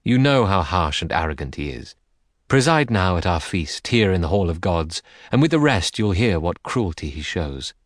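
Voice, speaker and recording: A man's voice: low voice, very animated, moderate pace, no room sound, clean studio sound. A man voicing a haughty, bitter queen of the gods in an audiobook, imperious and scornful. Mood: cool, bitter. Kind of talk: dramatic audiobook character reading.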